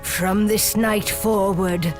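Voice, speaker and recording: Woman as an ominous village oracle in a game, slow and solemn, grave, music behind her. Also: mid-range voice, fairly even intonation, lightly acted. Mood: solemn curse. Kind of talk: video game cutscene acting.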